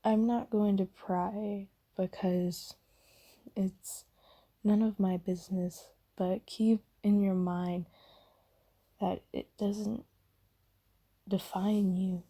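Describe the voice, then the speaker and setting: A woman voicing a gentle, caring demon in a soft ASMR roleplay, hushed, breathy and soothing, often slipping into whispers. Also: mid-range voice, little pitch movement, slow, long pauses. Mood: gentle, reassuring. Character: gentle, caring female sleep paralysis demon comforting someone.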